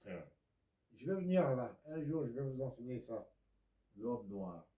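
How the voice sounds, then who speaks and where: A man from Congo preaches slowly in a mellow, darker voice, a Lingala and Kikongo speaker who rises to loud declaiming and drops to near-whispers. His words ring out in an echoey room. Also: medium pitch, big pitch swings. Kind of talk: video sermon.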